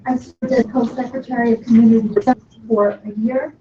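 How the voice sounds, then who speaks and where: A woman giving a hesitant public speech as a candidate at a meeting, with long pauses. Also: a little high, fairly even intonation, warm tone. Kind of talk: halting public campaign speech. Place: indoor public meeting room. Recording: echoey meeting room, dull and faintly noisy.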